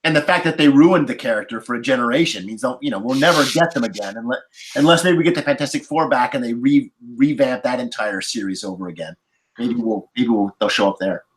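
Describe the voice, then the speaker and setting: A man's voice: mid-range voice, quick pace, poor audio. A man speaking as a podcast panelist in a casual movie discussion.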